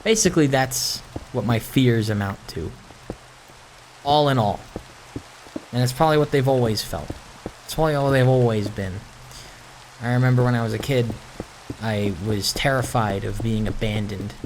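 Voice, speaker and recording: A man giving a casual, introspective monologue over gameplay, speaking in a halting, musing way and repeating phrases for emphasis. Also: mid-range voice, slight background noise, low-quality recording. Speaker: host of a gaming video series.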